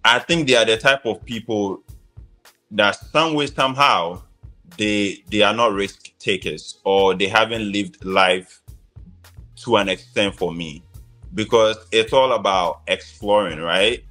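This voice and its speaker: Low-voiced Ghanaian man sharing opinions. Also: unhurried, sharp tone, soft background music. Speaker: interview guest.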